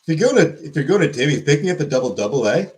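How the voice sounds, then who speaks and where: A man's voice: on the high side, big pitch swings, very fast. A man putting on a mock Canadian accent, playing a folksy, friendly guy. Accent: put-on Canadian.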